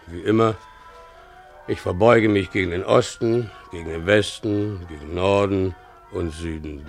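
A man's voice: fairly low pitch, creaky. A man playing a resentful, pious, poor donkey driver in a German radio play, grumbling with sanctimonious grievance. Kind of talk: German radio play, character monologue and dialogue.

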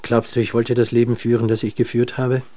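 A man's voice: medium pitch, flat delivery, a little fast, dark tone, lightly acted. An actor in a German radio drama playing a weary, stubborn man full of self-doubt, groping for words.

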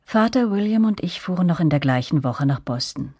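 An actress playing a grown woman who narrates a German radio drama reflectively, with a husky, breathy voice. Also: lowish voice, lively intonation, brisk, mellow, no room sound, studio quality. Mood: wistful, reflective.

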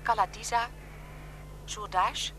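An actress playing an impersonal government newsreader reading a formal bulletin on the radio in a radio drama. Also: female voice, mid-range voice, steady tone, slow pace, a bit bright. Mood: official, impersonal. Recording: small radio with hum and noise.

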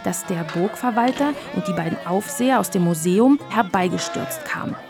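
A woman narrating a children's story from a script in an expressive storytelling manner. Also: mid-range voice, normal speed. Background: music.

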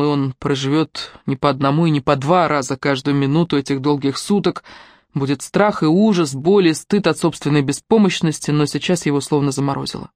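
Steady audiobook narrator, dry sound. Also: female voice, sits low, no room sound. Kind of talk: scripted narration.

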